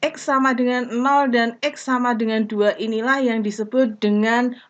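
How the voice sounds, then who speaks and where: Woman teaching a math lesson, slow careful short phrases, kinda high voice, muffled lo-fi audio. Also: fairly even intonation. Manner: deliberate, breaks sentences into short phrases. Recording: dull lo-fi recording.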